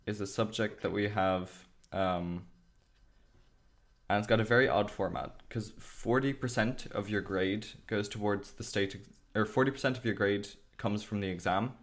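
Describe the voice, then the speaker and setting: An Irish teenage boy talks through his school life in a relaxed, rambling way. His voice sits a little low, and he keeps leaning on fillers as he explains. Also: moderate pace. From Ireland. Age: teens. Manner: chatty, explains at length with fillers. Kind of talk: casual video chat.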